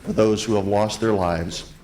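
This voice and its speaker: A man delivering a ceremonial tribute to a live audience, speaking in deliberate, emphatic phrases with repetition. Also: lowish voice, steady tone, darkish tone, slight background noise. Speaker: event speaker, museum president giving opening remarks. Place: hotel grand ballroom event.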